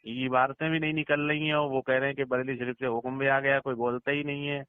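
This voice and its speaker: A man's voice: medium pitch, little pitch movement, quick pace, clean tone, dry recording. A man speaks over a narrow, tinny phone line with a dry, close sound. He is respectful and deferential, yet keeps probing with his questions in a mid-range voice. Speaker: caller questioning a cleric. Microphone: phone call.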